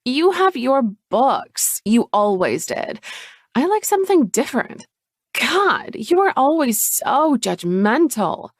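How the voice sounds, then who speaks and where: A woman's voice: high voice, big pitch swings, slow, no echo, studio quality, pitched above the performer's own voice, heavily acted. A woman reading a novel aloud, voicing a scornful, defiant adult sister in a raised, sneering voice. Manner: sneering, dismissive.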